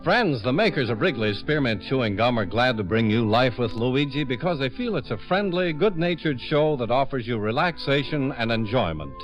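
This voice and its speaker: A male announcer delivers polished 1950s radio sponsor copy. His medium-pitched voice rises and falls in a lively way, with music clearly behind him. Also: moderate pace, few pauses, poor audio.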